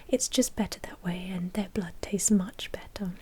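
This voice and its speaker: A woman speaks in a hushed, breathy whisper with a mellow, dark tone. She is doing an ASMR roleplay as a courteous vampire manager, businesslike and gently matter-of-fact, with soft hesitations as she explains. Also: fairly low pitch, normal speed. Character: female vampire blood bank manager, polite and businesslike.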